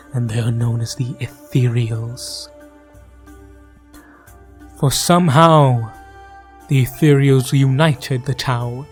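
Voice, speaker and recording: A man acts as an ominous, grandiose chronicler of a dark fantasy universe, warning the listener of horrors. The delivery is extremely slow and melodramatic, often sinking toward hushed whispers. Music plays under this theatrical audio drama narration. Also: mid-range voice, natural voice.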